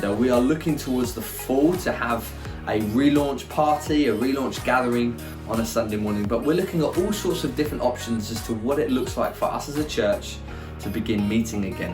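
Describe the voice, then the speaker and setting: Upbeat church leader with music behind. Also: male voice, medium pitch, reverberant. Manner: upbeat, encouraging, many fillers. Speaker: church leader giving announcements. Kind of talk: church announcements.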